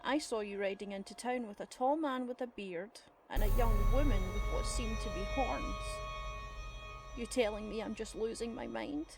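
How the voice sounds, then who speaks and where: A woman's voice: a little high, very animated, normal speed, over loud music. A woman playing a shrewd old grandmother in an audio drama, dry and suspicious in her questioning. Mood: probing, dry. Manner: dry, probing, pointed.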